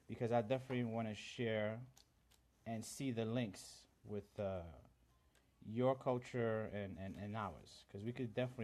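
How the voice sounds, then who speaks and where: A man's voice: on the low side, darkish tone. A man from Honduras whose first language is Garifuna, hosting a casual live-stream chat, earnest, greeting viewers by name and mixing in Spanish greetings. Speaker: livestream host.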